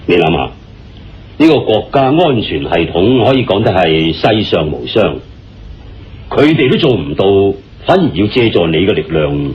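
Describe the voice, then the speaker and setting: An actor playing an earnest, deliberate man confiding state secrets in a radio drama, with a Hong Kong Cantonese accent and dramatic phrasing. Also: low voice, very animated. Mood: earnest, secretive. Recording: dull, muffled radio-style recording. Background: background music.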